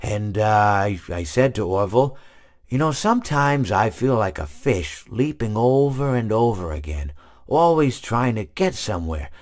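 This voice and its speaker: An actor playing a friendly, long-winded animatronic hippo who rambles through meandering stories, full of restarts. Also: male voice, on the low side, big pitch swings, a little slow. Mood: rambling.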